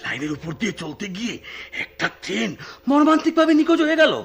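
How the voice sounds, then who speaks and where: An actor in a Bangladeshi radio drama playing a talkative, slyly satirical eccentric local at a railway station, rambling theatrically in a Bangladeshi accent. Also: male voice, very high-pitched, very animated, fast, creaky, husky, heavily acted. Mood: gleefully morbid. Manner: theatrical, rambling explanations with filler phrases. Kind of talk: Bengali radio drama acting.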